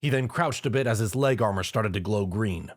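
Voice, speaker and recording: A man's voice: lowish voice, brisk. A man reading a fan-written action story aloud as narrator. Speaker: reader.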